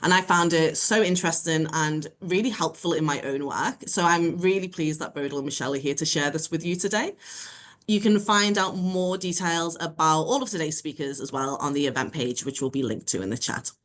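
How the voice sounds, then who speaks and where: A woman with a low voice chairs an online seminar session, working through a prepared introduction. She sounds formal and thankful, and she speaks over a video call connection. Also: fairly quick. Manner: warm, grateful and formal.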